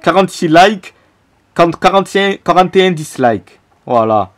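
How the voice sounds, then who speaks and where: A man's voice: sits high, normal speed. A young man from Marseille with a Marseille French accent, ranting casually about football to his own camera in a slangy, repetitive way. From Marseille, France. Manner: animated, repetitive, slangy, fired up. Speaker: football fan YouTuber. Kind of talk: casual vlog rant to camera. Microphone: camera mic, self-filmed.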